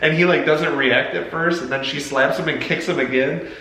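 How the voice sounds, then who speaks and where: A man's voice: a little high, fairly even intonation, fairly quick, bright, forceful tone. A man in a casual movie chat, talking in a rambling, explanatory way as a co-host. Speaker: family vlog co-host. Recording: roomy echo, clear background noise.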